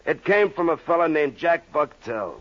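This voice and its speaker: A man's voice: fairly high pitch, expressive, normal speed, highs cut off, close to an everyday voice. A man playing an exasperated, sarcastic police lieutenant in an old-time radio crime drama, mocking and skeptical. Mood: smugly mocking.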